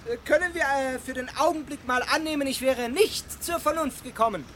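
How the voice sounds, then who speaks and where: A man's voice: extremely high pitch, moderate pace, a bit bright, slight background noise. An actor playing a stubborn, put-upon homeowner, a man with dry sarcasm in a German radio comedy. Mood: politely cajoling.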